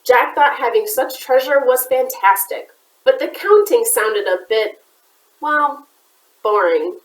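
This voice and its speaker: A woman's voice: fairly high pitch, slow. A woman children's librarian reading a picture book aloud to kids, with chatty asides. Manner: chatty asides to young listeners. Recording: roomy echoey room.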